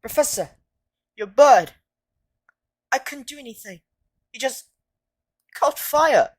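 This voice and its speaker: A woman's voice: high-pitched, expressive, takes it slowly, a little mellow, heavily acted. A woman playing an earnest, troubled young schoolboy wizard in an audio drama, hesitant and self-doubting. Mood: shocked.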